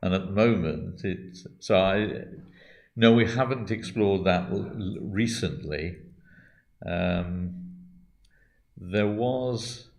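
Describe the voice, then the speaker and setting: A man's voice: sits low, slow pace, vocal fry, darkish tone. A man answering questions on church accounts at a congregational meeting, hesitant and full of qualifiers. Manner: hesitant, with many restarts and qualifiers. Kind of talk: meeting talk, thinking aloud.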